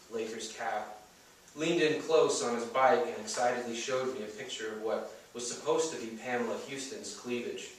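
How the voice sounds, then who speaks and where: A man's voice: medium pitch, fairly bright tone. Man reading fiction in a very echoey room. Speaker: author reading aloud. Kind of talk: scripted first-person fiction reading. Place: bookstore, live public reading. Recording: echoey room, distant mic, faint noise.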